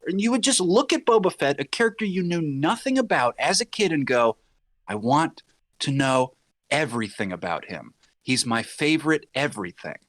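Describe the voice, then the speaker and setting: Man, thirties, lively swooping pitch, dry close sound, emphatic casual podcast talk. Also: moderate pace, no echo. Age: 30s. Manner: animated, emphatic, profane. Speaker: podcast guest. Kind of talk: casual chat.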